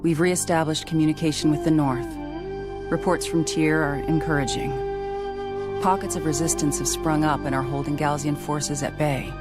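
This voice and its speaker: A woman playing a weary, resolute military officer, narrating a game log in a measured, reflective way. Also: low voice, steady tone, lightly acted. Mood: reflective, guarded hope. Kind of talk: scripted cinematic log narration. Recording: music behind the voice.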